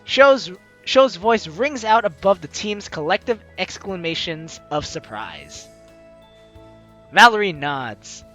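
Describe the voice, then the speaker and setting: A man with a bright, lively voice narrates a game in casual let's-play style. He is chatty and expressive, reading aloud and adding asides over faint game music. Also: high-pitched, slow pace. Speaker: game streamer.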